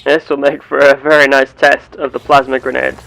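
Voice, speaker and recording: A man voicing a curious, earnest space explorer with measured, formal, theatrical phrasing, narrating a sci-fi game roleplay. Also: mid-range voice, flat delivery, vocal fry, a bit bright, rough recording. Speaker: gameplay host.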